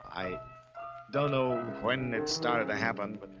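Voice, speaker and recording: An actor playing a guilty, frightened man confessing in an old-time radio drama, swinging from hushed to panicked. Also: mid-range voice, a little slow, muffled recording, loud music behind the voice, natural voice. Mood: brooding, tense. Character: guilty, frightened wife-poisoning man narrating his confession.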